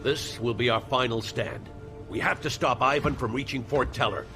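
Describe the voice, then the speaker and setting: An actor playing a tough, blunt male army colonel who speaks in a gruff, commanding way, with music behind. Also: medium pitch, normal speed, natural voice. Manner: gruff, commanding, scornful of officials. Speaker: commanding officer. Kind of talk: game cutscene acting.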